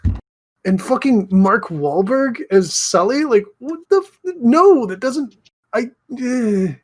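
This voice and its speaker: A man's voice: very high voice, very animated, slow pace. A man co-hosting a casual gaming podcast, speaking bluntly and swearing often. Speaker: podcast co-host.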